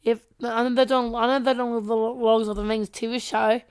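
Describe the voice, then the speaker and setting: A woman's voice: on the high side, flat delivery, moderate pace, smooth. A woman co-hosting a casual podcast, chatting in short, simple remarks. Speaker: podcast co-host.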